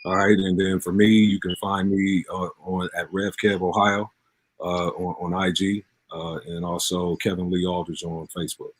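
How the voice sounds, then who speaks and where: Low-voiced earnest man in his forties. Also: level tone, normal speed, poor audio. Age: 40s. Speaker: pastor and interview guest. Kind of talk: earnest interview talk.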